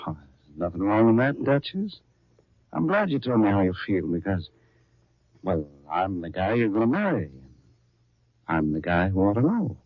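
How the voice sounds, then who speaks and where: An actor playing a plain-spoken, reassuring working guy engaged to be married in a 1930s radio soap, with a casual, slangy, steady delivery. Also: on the low side, normal speed, pauses often, no echo. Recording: dull, lo-fi 1930s radio recording.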